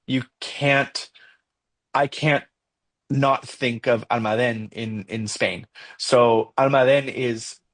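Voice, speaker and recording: Halting, thoughtful man with a Mexican accent. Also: fairly low pitch, fairly bright tone. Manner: halting, many fillers and restarts, thinking aloud. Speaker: podcast co-host. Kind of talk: casual conversational discussion.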